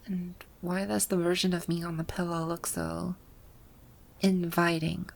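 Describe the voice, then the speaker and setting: A woman's voice: fairly low pitch, flat delivery, measured pace, vocal fry. A woman playing a haughty, easily flustered female final-boss villain from a video game in an ASMR roleplay, with an imperious, indignant manner. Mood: baffled, haughty. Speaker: voice actor.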